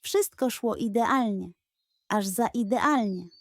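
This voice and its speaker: A woman's voice: a little high, slow, dry recording. A woman narrating a scripted bedtime fairy tale for children as the storyteller.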